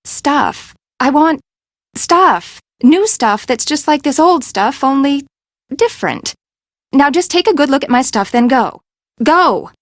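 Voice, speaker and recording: An actress playing an imperious, eccentric fashion designer in a video game, with a haughty, dramatic, emphatic delivery. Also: female voice, high-pitched, lively intonation, slow, no room sound, studio quality. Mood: demanding, impatient.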